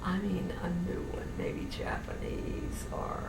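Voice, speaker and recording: An elderly woman from New Orleans with a general American accent, slowly reminiscing in an interview with many restarts. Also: whispered, loud music behind the voice. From New Orleans, USA. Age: older. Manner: slow, meandering, with many restarts. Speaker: interviewee, great-grandmother. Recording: noisy, roomy home recording from a distance.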